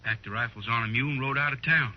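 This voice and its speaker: An actor playing a talkative, folksy frontier gun shopkeeper in a 1950s radio western. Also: male voice, medium pitch, fast talker, natural voice. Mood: curious. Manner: folksy drawling storekeeper talk. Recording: muffled old 1950s radio recording.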